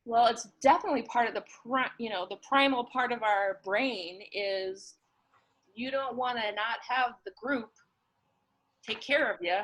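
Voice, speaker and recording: A woman's voice: fairly high pitch, moderate pace, a bit bright, low-quality recording. A woman talking reflectively in casual conversation, thoughtfully searching for her words.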